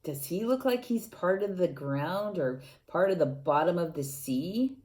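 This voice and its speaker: A woman reading a picture book aloud to young children, gently asking them questions and adding playful sound effects. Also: on the low side, expressive, measured pace. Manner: gentle, coaxing, asks the young listeners questions.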